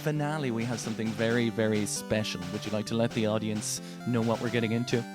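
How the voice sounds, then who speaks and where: A man's voice: lowish voice, fairly even intonation, fast. A man co-hosting a podcast in casual, enthusiastic chat. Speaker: podcast co-host. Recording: music clearly playing behind. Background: music.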